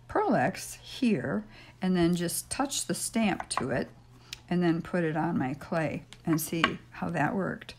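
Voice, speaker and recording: Older woman, raspy gravelly voice, lowish pitch, chatty craft tutorial, lots of ums, home craft room, fixed camera mic, faint hiss. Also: darkish tone. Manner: chatty, thinking aloud with many ums while working. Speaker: polymer clay tutorial host. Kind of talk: casual craft demonstration. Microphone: stationary camera mic.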